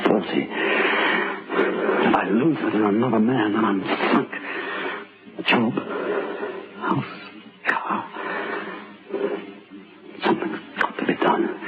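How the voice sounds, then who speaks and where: A jealous husband pushing forty, low and brooding. He is irritable and suspicious, heard through heavy noise on a narrow old radio drama recording. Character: jealous, peevish husband nearly forty, fearing age. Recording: noisy, band-limited old radio recording.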